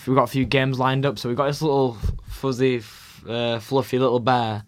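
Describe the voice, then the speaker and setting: A man's voice: mid-range voice, level tone, a little fast. A man with a mid-range voice talks on a community radio show from the studio. He sounds hesitant, stumbling over words and leaning on "like" as he explains the plans. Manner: hesitant, repeating words and saying like often. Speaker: radio co-presenter. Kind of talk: casual radio chat.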